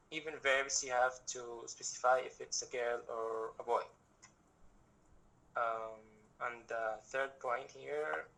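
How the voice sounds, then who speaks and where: A young man from Egypt teaches an online workshop over a video call. His voice is bright and forward, and he explains patiently, circling back and restarting as he works through his examples. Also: mid-range voice, gravelly, rough recording. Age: young adult. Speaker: guest language teacher.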